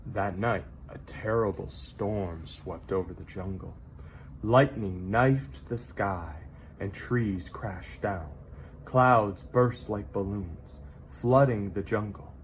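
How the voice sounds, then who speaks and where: A reader narrating a children's story aloud, with dramatic, drawn-out emphasis on key words. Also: low-pitched, slight background noise.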